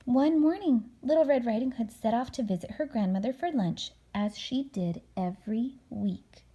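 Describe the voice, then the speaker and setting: A woman reading a storybook to a child as a mother, dramatic and expressive, dropping into hushed tones for suspense. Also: on the high side, moderate pace, husky, lo-fi sound.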